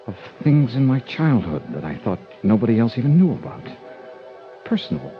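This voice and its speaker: A man's voice: fairly low pitch, dull audio. An actor playing a wry American investigator who narrates his case in dry, dramatic 1950s radio detective style. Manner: dry, dramatic, clipped storytelling. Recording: old radio broadcast, limited band. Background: dramatic music.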